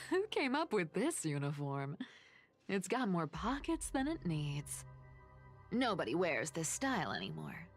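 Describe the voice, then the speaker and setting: Sly, slow-drawling, playful assassin woman. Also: fairly low pitch, wildly swooping pitch, faint music behind. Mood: amused musing. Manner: breathy, teasing, drawling with amused chuckles. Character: sly, playful female assassin, teasing and coolly menacing. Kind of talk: English game voice acting, theatrical monologue.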